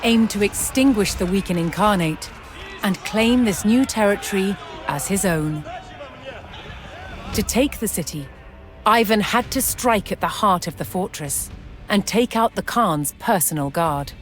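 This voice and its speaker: A woman reading scripted historical narration for a strategy game, in a measured, dramatic storytelling delivery. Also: mid-range voice, animated. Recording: music under the voice. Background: background music.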